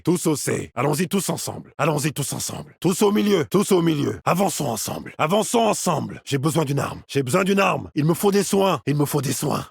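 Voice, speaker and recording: Cocky video game soldier, husky, animated, commanding, clipped combat callouts, dry close recording. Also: male voice, fairly high pitch, dry recording, full character voice.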